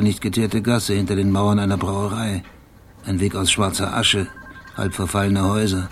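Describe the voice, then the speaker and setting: A man's voice: lowish voice, natural voice. An actor playing a brooding, obsessive male police detective in a radio drama, weary and haunted, speaking in terse, meticulous phrases. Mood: obsessive, insistent. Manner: terse fragments, meticulous describing. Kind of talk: German radio drama monologue and dialogue. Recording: clearly noisy background.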